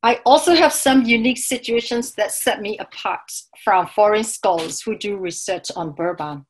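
A woman from Myanmar, giving an online academic keynote lecture over slides in a measured way, sometimes correcting herself mid-word. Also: medium pitch, slight background noise. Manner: measured, with occasional self-corrections. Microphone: video call.

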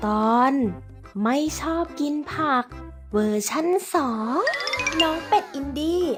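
A woman's voice: high-pitched, lively intonation, takes it slowly. A woman storyteller narrating a scripted tale for children. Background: children's music.

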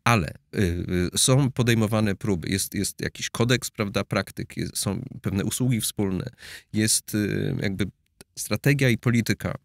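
A man's voice: lowish voice, measured pace, vocal fry, no echo, studio quality. A middle-aged man explaining things as an analyst guest in an interview, with many hesitations and restarts. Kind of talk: conversational expert interview. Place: studio with blue backdrop. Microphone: studio mic on boom arm.

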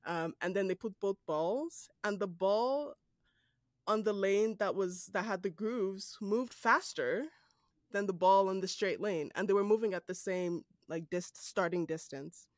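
A podcast host with a Nigerian accent, chatting casually, laughing often and filling pauses with "like" and "um". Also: medium pitch, no room sound, clean studio sound. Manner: animated, laughs often, many fillers. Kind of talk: casual interview chat.

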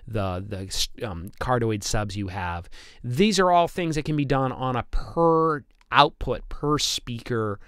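A man's voice: lowish voice, a bit bright, clean tone, no echo, clean studio sound. A man teaching as an instructor, explaining technical material in an informal, conversational lecture with occasional stammered repeats. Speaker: instructor, audio engineer. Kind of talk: informal lecture over slides.

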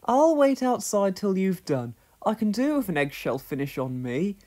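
A woman voicing a homely farm woman who talks in a brisk, cheery, practical way. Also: medium pitch, very animated, dark tone, voice pushed up, close to an everyday voice.

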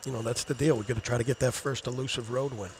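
Gravelly middle-aged coach, rambling talk. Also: male voice, mid-range voice, fairly even intonation, quick pace, darkish tone, a little hiss or hum. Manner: rambling, repeats words while thinking. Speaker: assistant basketball coach. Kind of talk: postgame interview. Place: studio set in a gym, indoors. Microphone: headset mic.

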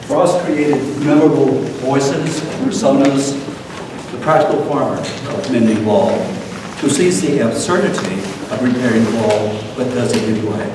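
A man's voice: mid-range voice, normal speed. An older man giving a scripted lecture introduction from a podium. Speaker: lecturer, event host. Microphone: far camera. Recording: echoey noisy hall from far off.

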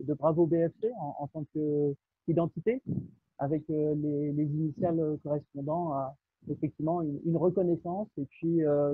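A man opens a webinar from his office over a video call, stammering over repeated words between fillers. His fairly high voice sounds husky through a thin, phone-like line, with no echo. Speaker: webinar co-presenter. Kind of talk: hesitant webinar presentation.

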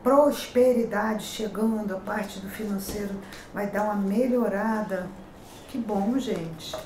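A middle-aged Brazilian woman with a Rio accent reading daily horoscopes to camera, warning and advising in a chatty manner, calling out each sign. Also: mid-range voice. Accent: Rio de Janeiro Portuguese. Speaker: tarot reader and horoscope host. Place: pink-walled room at a table with cards. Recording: echoey room, faint noise.

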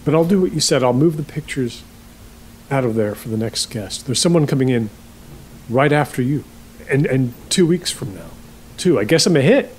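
An actor playing a lonely, awkward middle-aged rental host who rambles haltingly and repeats himself in a naturalistic audio drama. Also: male voice, mid-range voice, lively intonation, darkish tone, a little hiss or hum, close to an everyday voice, low-quality recording. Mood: eager to please.

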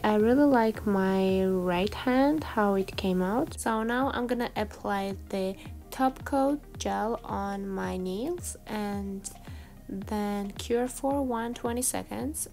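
A woman's voice: mid-range voice, slow, creaky, a little mellow. A woman giving a casual, chatty tutorial voice-over in a self-deprecating way, like a beauty vlogger. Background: background music.

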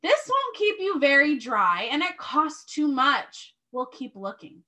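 A woman's voice: high-pitched, huge pitch swings, unhurried, pushed and bright, heavily acted. A woman voicing a friendly, patient father in a children's storybook, speaking with instructive emphasis. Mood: kindly. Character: friendly, patient dad teaching his young daughter. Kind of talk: children's storybook read-aloud.

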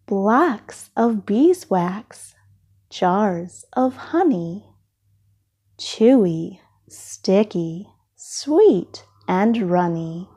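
A woman with a slightly husky voice reading a children's storybook aloud, expressively and with deliberate phrasing for young listeners. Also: medium pitch, lively intonation, dark tone. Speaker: storybook reader.

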